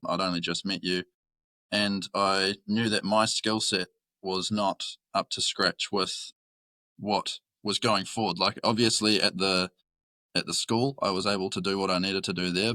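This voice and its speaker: Young new zealand guy on a podcast, bright lowish voice, thinks out loud, dry close mic. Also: no room sound. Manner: open, reflective, many fillers. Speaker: guest, employee. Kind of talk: casual podcast chat.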